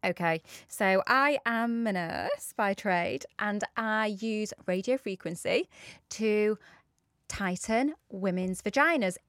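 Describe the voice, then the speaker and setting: Bright-voiced friendly woman guest. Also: medium pitch, expressive, takes it slowly, no room sound, clean studio sound. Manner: friendly, laughs easily. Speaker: nurse guest. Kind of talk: casual chat.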